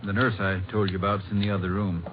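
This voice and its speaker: An actor playing a folksy, sympathetic small-town Texas sheriff in a 1950s radio drama. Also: male voice, on the low side, level tone, quick pace, close to an everyday voice. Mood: helpful. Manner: folksy, easygoing. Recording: muffled, noisy old radio broadcast.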